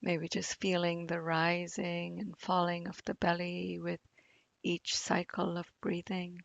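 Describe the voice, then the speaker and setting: A woman guides a meditation very slowly, in a fairly low voice. Her delivery is gentle and soothing, with long pauses and a gravelly fry at the edges. The sound is close and dry. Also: monotone, dry recording, highs cut off. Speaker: meditation teacher. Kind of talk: guided body scan meditation.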